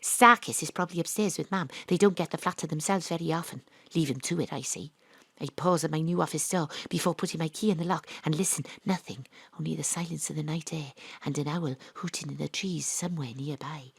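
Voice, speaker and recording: A woman acting as the narrator and private-eye lead of a radio drama, wry and deadpan in a hard-boiled parody style. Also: sits low, a little fast, creaky, slightly rough, no echo. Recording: dry home studio.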